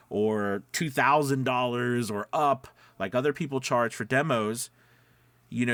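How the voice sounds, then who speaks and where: A man's voice: mid-range voice, a bit bright, no room sound. A man giving an informal, encouraging lesson as a voice-over coach, conversational and rambling, often checking in with his listeners. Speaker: voice-over coach and demo maker. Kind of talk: informal instructional talk to viewers.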